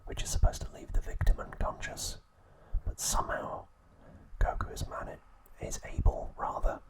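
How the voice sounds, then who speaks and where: A man narrates in a full, breathy whisper, with no voiced tone. He speaks as an ASMR host giving a recap. His delivery is slow and measured, one phrase at a time. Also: rough recording.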